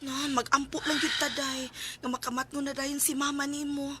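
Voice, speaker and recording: High, bright Cebuano friend. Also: female voice, flat delivery, normal speed, faint music behind. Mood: reassuring. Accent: Filipino, Cebuano. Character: supportive, easygoing female friend of the worried daughter. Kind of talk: radio drama acting.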